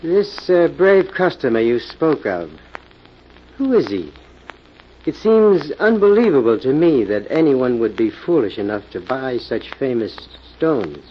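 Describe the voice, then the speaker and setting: An actor playing a hard-nosed, coolly menacing American gambling club boss in a 1940s radio drama, firm and skeptical, on an old recording. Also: male voice, mid-range voice, very animated, measured pace, lo-fi sound, slight background noise. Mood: skeptical, probing. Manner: firm, skeptical, tough-talking.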